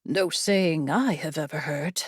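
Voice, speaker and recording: A woman's voice: low voice, measured pace, husky, no room sound, voice pushed down. A tough female desert guide, as a woman narrator voices her, watchful and protective. She speaks tersely and with command, taking her time, in a dry-witted storybook manner with no room sound. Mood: skeptical.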